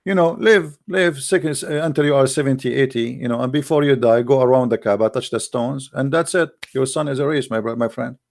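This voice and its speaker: A man's voice: medium pitch, fairly quick, creaky, few pauses. Sarcastic man arguing in broken English. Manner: argumentative, sarcastic, rhetorical questions, non-native grammar. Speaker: religious debater. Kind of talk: polemical monologue.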